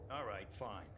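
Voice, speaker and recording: A stern military officer in a war game, slow and mid-pitched. He is curt and reluctant, with loud noise behind him. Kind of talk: dramatic dialogue in a game cutscene. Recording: voice over loud background noise.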